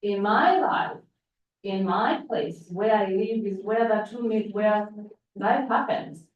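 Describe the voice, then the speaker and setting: A woman's voice: medium pitch, slow pace. Ugandan woman in her 40s giving a talk, picked up from far off in a roomy hall, kinda muffled. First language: Luganda. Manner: warm storytelling, restarts phrases. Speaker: panel speaker. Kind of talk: personal storytelling speech. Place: large hall at a conference. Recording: echoey hall from far off, dull.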